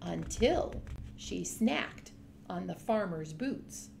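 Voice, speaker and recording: A woman's voice: fairly low pitch, animated, slow, creaky, a little mellow, a little hiss or hum, poor audio. A woman storyteller reading a picture book aloud expressively, speaking directly to young children.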